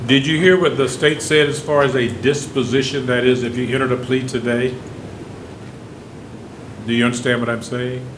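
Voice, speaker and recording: A man presiding as judge at a bond hearing, speaking firmly and repeating his questions until he gets a clear answer. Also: medium pitch, level tone, moderate pace, background noise.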